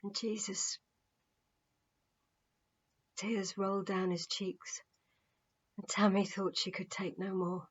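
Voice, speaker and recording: A woman reading her novel aloud as an expressive, measured audiobook narrator. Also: medium pitch, breathy edge, lo-fi sound. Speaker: author reading own novel.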